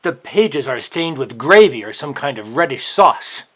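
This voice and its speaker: A man's voice: medium pitch, lively intonation, measured pace, a bit bright, husky. A man playing an irritable male library boss in a dramatized novel reading, fussy, sarcastic and gossipy. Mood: waspish.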